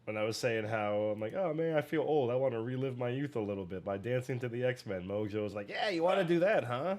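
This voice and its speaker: A man in his forties giving casual, energetic commentary on a show and swearing freely. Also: medium pitch, fast. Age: 40s. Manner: energetic, profane, laughs often. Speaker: reaction video host.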